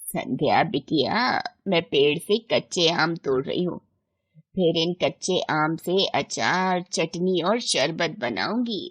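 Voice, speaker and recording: A woman voicing a gentle, caring old grandmother who speaks slowly and tenderly in a children's moral story. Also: deep voice, animated, breathy edge, voice pushed down, full character voice. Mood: affectionate. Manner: slow, deliberate, tender.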